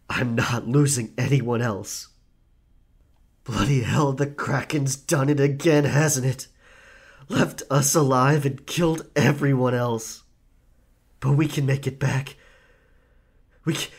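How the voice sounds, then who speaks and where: Slow, husky, vengeful captain. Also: male voice, medium pitch. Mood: urgent, reassuring. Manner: slow, theatrical, archaic seafaring speech. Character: vengeful, grief-stricken old pirate captain, widowed father. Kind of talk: dramatic pirate roleplay audio.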